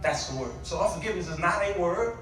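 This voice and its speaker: A man preaching an impassioned sermon, exhorting his listeners with pauses and rhetorical questions. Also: sits high, animated, a bit bright, faint music behind. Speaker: guest preacher. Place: large echoey indoor church with congregation. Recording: echoey church, distant mic, congregation responding.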